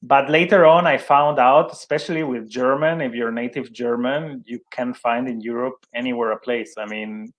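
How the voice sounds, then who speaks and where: A man's voice: mid-range voice, steady tone, moderate pace, hardly any pauses. A young man speaking English as a second language, a guest in an interview, talking with many fillers and restarts. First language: not English. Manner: hesitant, many fillers and restarts, laughs at himself. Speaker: interview guest, sports event manager. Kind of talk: conversational interview.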